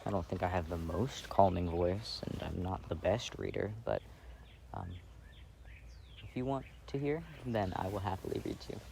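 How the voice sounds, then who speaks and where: A man playing a gentle, insecure boyfriend in an intimate ASMR roleplay, speaking softly and hesitantly. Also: sits low, sing-song, creaky, exaggerated voice. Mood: self-doubting. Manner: hesitant, halting, soft-spoken. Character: gentle, insecure, affectionate plant-loving boyfriend reading outdoors. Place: outdoors, sitting on grass. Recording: faint outdoor noise, birdsong. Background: faint birds and outdoor ambience.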